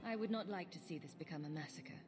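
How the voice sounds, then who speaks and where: An actress voicing a wary but compassionate fantasy video game heroine, asking things in a direct way. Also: female voice, on the low side, a little fast, darkish tone, slightly rough, faint music behind. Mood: questioning, concerned. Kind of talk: scripted video game dialogue.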